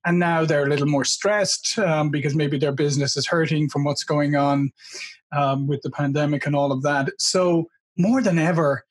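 A man's voice: on the high side, fairly even intonation, normal speed. A man, hosting a video-call interview, chatty and loose, often rephrasing himself, into a desk mic. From Ireland. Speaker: host. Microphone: desk microphone.

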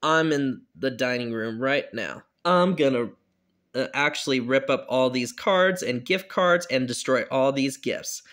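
A bratty, scheming boy with a low, mumbly, sneering voice, as a performer puts him on. He talks slowly and keeps his voice dropped down. His lines sound like homemade drama acting. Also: fairly bright tone, natural voice. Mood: spiteful.

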